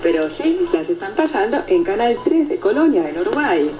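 A woman's voice: mid-range voice, sing-song, moderate pace. Woman radio host on noisy shortwave. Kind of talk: reading and answering listener reports, conversational radio presenting. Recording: noisy shortwave radio reception, muffled, echoey. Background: loud static noise.

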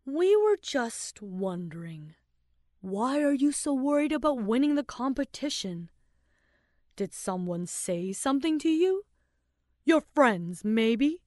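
A woman voicing a loving father in a storybook, speaking softly and reassuringly to his young daughter. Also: sits high, very animated, takes it slowly, dry recording, studio quality, full character voice. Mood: concerned. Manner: soft, reassuring. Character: gentle fatherly man, calm and loving.

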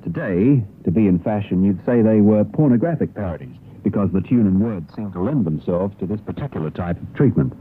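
Low-voiced Australian radio presenter on shortwave. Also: male voice. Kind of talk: scripted folk music radio narration. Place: radio broadcast. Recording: narrowband, noisy 1960s shortwave radio broadcast.

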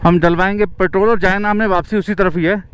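A young man casually narrating a travel vlog outdoors while riding a motorbike, chatty and excited. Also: high voice, fairly quick, clean tone. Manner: chatty and excited, keeps saying "yaar". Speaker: travel vlogger. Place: outdoors, riding a motorbike through a mountain valley.

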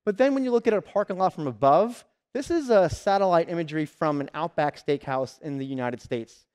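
A man's voice: a little high, animated, a little fast, darkish tone, smooth. A man in his thirties giving a conference keynote on a large stage through a headset mic, telling stories and acting out customers and salespeople. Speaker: keynote speaker.

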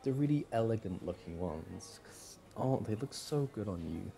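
A man acting as a doting, smitten young boyfriend on a shopping date in an audio roleplay, speaking in a hesitant, halting, affectionate way. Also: fairly low pitch, animated, creaky, warm tone, a little hiss or hum. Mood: fond, playful. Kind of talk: boyfriend ASMR roleplay, acted one-sided conversation.

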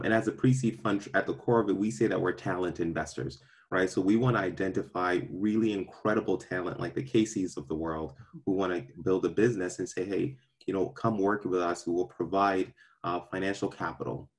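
A young man from Ghana raised in Brooklyn, answering interview questions on a video call from a park, earnest and measured with frequent ums and restarts. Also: sits low. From born in Ghana, raised in Brooklyn. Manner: earnest, measured, many ums and repeated starts. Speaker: venture capital partner, guest. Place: outdoors in a park. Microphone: video call webcam.

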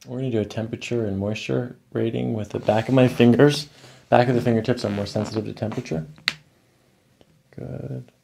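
A man's voice: mid-range voice, steady tone, fairly quick, vocal fry, mellow, a little hiss or hum. A man in his thirties speaking as a chiropractor, methodically questioning a patient and explaining each step of an exam. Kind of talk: clinical patient consultation and exam commentary. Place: small carpeted chiropractic clinic room and hallway.

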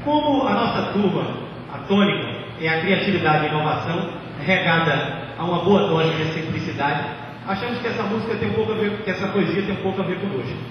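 A man's voice: high voice, fast. A man, giving a humorous speech as a graduating student at a podium. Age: 20s. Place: large graduation ceremony at a podium. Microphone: microphone on a stand through speakers. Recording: echoey outdoor PA, muffled, distant, noisy.

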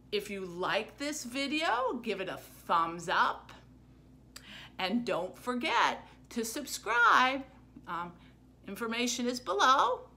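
A middle-aged woman presenting a children's book for a library, with an expressive storyteller's delivery. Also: fairly high pitch. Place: small plain room indoors.

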